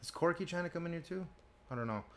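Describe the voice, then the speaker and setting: A man's voice: fairly high pitch, animated, fast talker. A man hosting a casual online voice chat, laid-back and swearing casually. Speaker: host running the voice chat. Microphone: online voice call.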